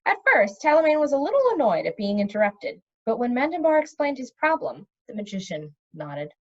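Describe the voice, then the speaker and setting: Woman reading a fantasy book out loud, kinda high voice, really lively, slightly muffled lo-fi recording. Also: brisk. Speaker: read-aloud narrator. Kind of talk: expressive storybook narration of a fantasy novel.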